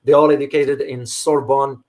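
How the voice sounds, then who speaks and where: A man's voice: mid-range voice, flat delivery, moderate pace, a little mellow. A middle-aged man, raised in Morocco with Arabic as a first language, hosting a casual livestream chat and answering viewers with rambling restarts. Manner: rambling, frequent restarts and fillers, thanks viewers by name. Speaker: host answering viewer questions.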